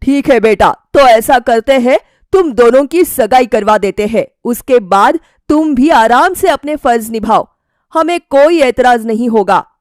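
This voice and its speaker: A sensible middle-aged father from a cartoon moral tale, acted by a woman. The voice is firm and advising, projected clearly in a dry recording. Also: on the high side, animated, measured pace, a little mellow, no room sound. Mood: conciliatory.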